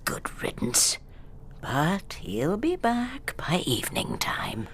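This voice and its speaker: Venomous old woman, swooping pitch. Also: huge pitch swings, a bit bright, in a whisper, full character voice. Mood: menacing. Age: older. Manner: venomous, deliberate. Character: bitter, possessive, menacing old wife. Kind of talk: radio drama acting.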